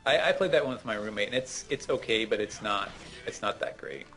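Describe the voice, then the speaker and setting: Low, gravelly man in casual gaming chat. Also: a little fast, fairly bright tone, soft background music. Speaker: gaming co-host giving tips.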